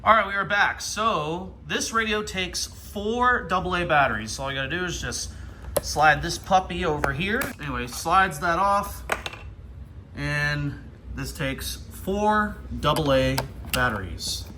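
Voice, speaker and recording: A man's voice: on the high side, lively intonation, unhurried, noisy background. An American man giving casual hands-on unboxing commentary in an informal, enthusiastic way. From Ohio, United States. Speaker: vlogger. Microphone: handheld camera.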